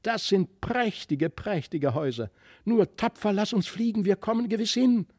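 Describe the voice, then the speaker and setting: A man acts out a bold young boy from a fairy tale. He speaks eagerly and emphatically, with a raised, mellow voice. The sound is close and dry, as in a storybook reading. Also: high-pitched, normal speed, no room sound, pitched above the performer's own voice. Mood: delighted. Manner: excited, emphatic, exclaiming. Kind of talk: acted dialogue in a literary audiobook reading.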